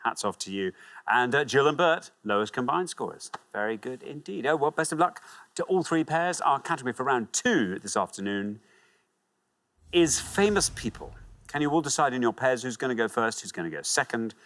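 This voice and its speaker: A man's voice: big pitch swings, normal speed, a bit bright, a little hiss or hum. A man hosting a TV quiz show, upbeat and encouraging, reading out questions and scores. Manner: upbeat, encouraging, big swings of pitch. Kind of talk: lively game show hosting. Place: television studio with audience.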